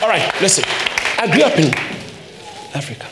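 Male pastor, high animated preaching voice, repeats lines for punch, reverberant church sound. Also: normal speed, over a noisy crowd, very noisy. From Ghana. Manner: emphatic preaching with repeated phrases. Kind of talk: sermon.

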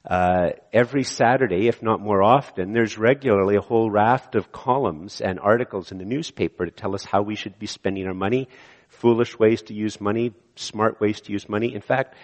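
A hoarse man preaching a sermon as pastor, talking in a conversational way with many fillers and restarts. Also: fairly low pitch, normal speed. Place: church sanctuary. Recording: church hall with long reverb.

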